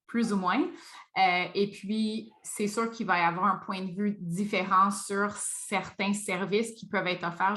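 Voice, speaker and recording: A woman's voice: mid-range voice, fairly bright tone. A woman hosting an interview as a speech-language pathologist, encouraging and reflective, sometimes searching for her words, on a video call. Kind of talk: conversational interview.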